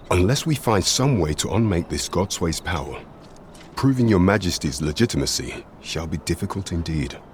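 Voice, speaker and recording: Very deep-voiced, formal royal advisor. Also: male voice, a little hiss or hum. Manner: formal, deferential, measured. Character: grave, formal male royal advisor in a fantasy realm. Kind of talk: archaic, courtly scripted game acting.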